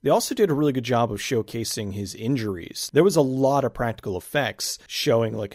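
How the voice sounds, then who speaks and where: Raspy-voiced man reviewing a movie. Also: mid-range voice, a little mellow, dry recording, studio quality. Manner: enthusiastic, conversational, casual swearing. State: raspy, nearly losing his voice. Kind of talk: casual spoken movie review.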